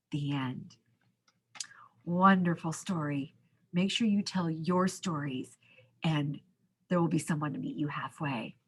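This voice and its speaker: A woman reading a children's picture book aloud as a school storyteller, expressively, with dramatic pauses and drawn-out words. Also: fairly low pitch, a bit bright, slightly rough.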